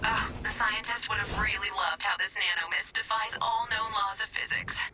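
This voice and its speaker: A woman's voice: mid-range voice, steady tone, moderate pace, natural voice. An actress voicing a sarcastic female robot from a video game, with a dry, sardonic delivery. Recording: distant voice over loud game music. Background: game music.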